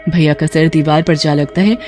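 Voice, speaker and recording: A man's voice: a little high, steady tone, normal speed, over music. A man telling a horror story as a cartoon narrator, with a dramatic, suspenseful delivery.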